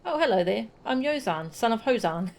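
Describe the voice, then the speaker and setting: A woman voicing an eager, curious young boy from a video game, chatty and excitable. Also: a little high, moderate pace, voice pushed up.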